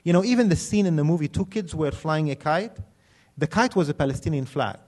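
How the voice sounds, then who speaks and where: A man's voice: on the high side, lively intonation, fairly quick, darkish tone. A middle-aged man lecturing in an emphatic, ironic way, building his points in rhythmic lists. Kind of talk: critical commentary on film and culture.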